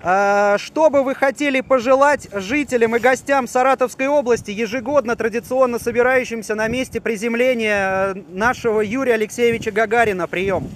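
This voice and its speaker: A middle-aged man with a very high-pitched voice works as a radio operator. He speaks in courteous, deliberate procedure, outdoors with clear noise around him. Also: steady tone. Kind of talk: formal radio exchange, asking questions. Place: outdoors on a city rooftop.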